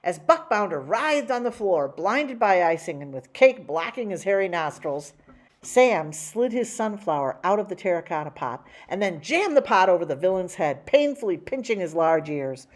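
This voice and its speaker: A woman reading a children's picture book aloud in an expressive storytelling way. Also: fairly low pitch, normal speed. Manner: expressive, animated.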